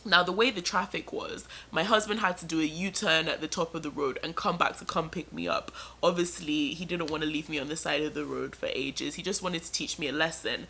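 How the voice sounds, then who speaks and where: A young woman vlogger telling a personal story with lots of drama and suspense. Her voice is bright and forward but sits a little low. She stretches her words and builds each beat. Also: fairly quick, vocal fry, hardly any pauses, slightly rough. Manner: animated, dramatic storytelling with laughter. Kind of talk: storytime narration.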